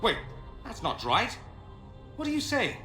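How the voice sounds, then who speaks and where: An actor playing an earnest, incredulous mage in a fantasy game, insistent and questioning. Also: male voice, extremely high pitch, wildly swooping pitch, a little slow, heavily acted. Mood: alarmed, insistent. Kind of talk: video game dialogue acting. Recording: echoey, loud music underneath.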